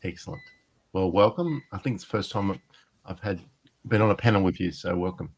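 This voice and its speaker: A man's voice: low-pitched, fairly quick, husky. A man moderating a video panel, welcoming speakers and handing over turns in a friendly way.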